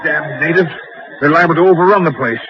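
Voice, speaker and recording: An actor playing an irritable, scornful guard in a radio horror drama, gruff and dismissive. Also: male voice, a little high, brisk, muffled recording, a faint crowd, lightly acted. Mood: irritated, dismissive. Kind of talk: old-time radio drama acting.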